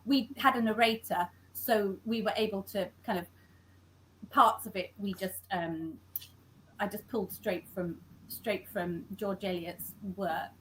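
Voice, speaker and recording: A woman speaks over a video call at an even, middling pitch, explaining her writing process in a halting way. She keeps breaking off and rephrasing mid-sentence as she thinks aloud. A faint hiss sits behind her voice. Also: rough recording. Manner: halting, self-correcting, many restarts. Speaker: scriptwriter. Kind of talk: conversational interview.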